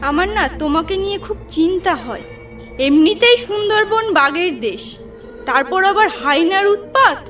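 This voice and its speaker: A woman's voice: very high voice, expressive, normal speed, a bit bright, recorded from a distance, faint music behind. A woman voicing a worried, pleading village wife in a cartoon, fearful for her husband. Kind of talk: cartoon voice acting.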